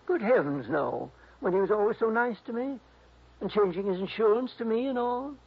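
Fluttery, high-voiced, husky old composer. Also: male voice, expressive, moderate pace, heavily acted. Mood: innocent, serene. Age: older. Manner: rambling, fluttery, hesitant, chuckling. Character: sweet, dreamy, eccentric older male amateur composer. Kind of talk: 1960s radio drama acting. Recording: dull, lo-fi old radio recording.

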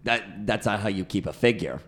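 A man's voice: lowish voice, fairly quick. A man playing a weary, washed-up pink blob. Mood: weary deadpan. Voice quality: rough, gravelly everyday voice. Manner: deadpan, hesitant, full of fillers. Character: worn-out adult male pink blob creature, self-pitying. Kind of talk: improvised comedy podcast bit.

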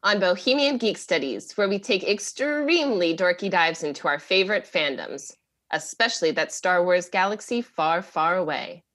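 A woman's voice: lowish voice, animated, normal speed, fairly bright tone. A woman hosting a podcast, reading a theatrical scripted promo. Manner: theatrical announcer.

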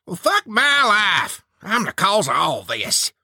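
Man doing a loud, boisterous Old West gunslinger, high-pitched. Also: sing-song, slow, no room sound, studio quality, exaggerated voice. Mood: sulky. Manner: foul-mouthed, giggly, shouting and moaning.

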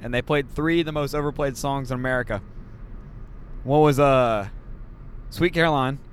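A man's voice: medium pitch, normal speed. Male podcast host, rambling and jokey, handheld mic in a moving car, faint road hum. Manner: joking, rambling. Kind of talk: casual chat. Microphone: handheld mic passed around.

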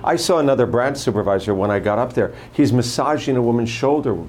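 An older man running for prosecutor, answering interview questions in a measured way and telling stories from his career. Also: mid-range voice, a little mellow. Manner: measured, anecdotal, lists names and past posts. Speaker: candidate for prosecutor.

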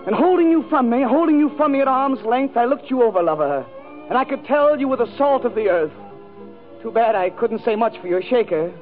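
A man's voice: very high voice, expressive, brisk, phone-quality sound, pitched above the performer's own voice. A man playing a melodramatic, gushing lover, sighing and repeating himself in a 1940s radio comedy monologue. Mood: gushing, yearning. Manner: overwrought, sighing, repeating phrases for effect. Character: melodramatic, gushing male lover reciting lost romance. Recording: thin vintage radio broadcast with music, audience.

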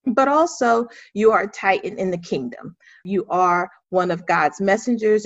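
A woman's voice: medium pitch, no echo. A woman hosts an interview podcast, praising her guest with emphatic, faith-filled energy. The audio is dull and lo-fi. The room sound is dry and close. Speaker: podcast host.

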